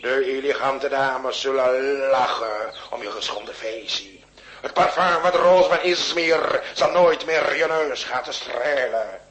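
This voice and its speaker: Male actor as vengeful, florid duellist from the Ottoman world; medium pitch, declamatory, slightly noisy radio drama recording. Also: a little slow, a bit bright, lightly acted. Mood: proud, vengeful. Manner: theatrical, declamatory. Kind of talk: comic period radio play acting.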